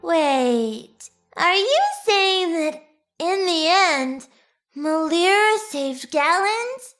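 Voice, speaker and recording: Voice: very high voice, expressive, drawn-out words, fairly bright tone, soft background music, heavily acted. A performer playing a curious, eager little child in a video game, speaking in a wondering, questioning way. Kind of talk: video game cutscene acting.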